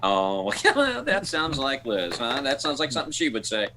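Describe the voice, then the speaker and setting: A man playing a steady friend who calms a nervous husband, with hesitant starts, in old-time radio comedy style. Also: medium pitch, a little slow, very noisy. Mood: amused, advising.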